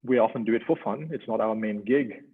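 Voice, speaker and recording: Male webinar moderator, husky, tinny phone-line sound, chatty with ums. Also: lowish voice, fairly quick. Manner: conversational, many ums, warm personal asides. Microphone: video call.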